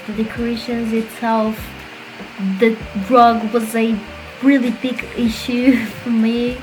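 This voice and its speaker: A woman's voice: fairly high pitch, low-quality recording. A woman whose first language is Portuguese, chatting casually and rambling a bit as she talks over her own video, often critical of her work. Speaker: game building creator. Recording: voice-over with clear background music. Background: background music.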